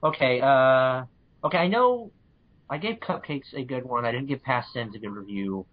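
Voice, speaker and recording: A man chatting casually as a podcast guest, giving blunt opinions in a halting, repetitive way. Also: mid-range voice, lively intonation. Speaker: podcast guest, fan fiction reviewer. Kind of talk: casual chat. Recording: dull lo-fi voice recording.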